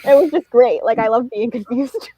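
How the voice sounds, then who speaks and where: A woman's voice: fairly high pitch, normal speed, warm tone, slightly rough, dry recording, low-quality recording. A woman talking casually as a co-host in a book discussion. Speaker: read-along co-host. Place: online live video chat.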